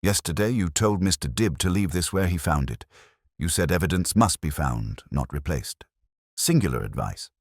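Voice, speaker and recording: A patient and shrewd inspector, acted out by a male reader in a detective story. He has a very low voice with expressive movement in pitch, and probes quietly in measured phrases. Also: dry recording, clean studio sound. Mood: mildly probing. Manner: measured, epigrammatic, quietly probing.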